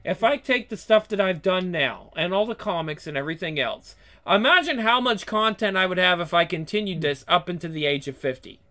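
Guy in his thirties, high bright voice, blunt and sweary, rambles on. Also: animated, fairly quick, few pauses. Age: 30s. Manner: blunt, profane, rambling with many restarts. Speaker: YouTube channel host. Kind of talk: casual chat.

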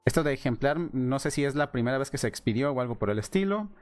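A man's voice: medium pitch, expressive, fast talker, dry recording, soft background music. A man with a Mexican accent reading a post aloud and commenting on it in a casual, sarcastic way. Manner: sarcastic, laughs at what he reads.

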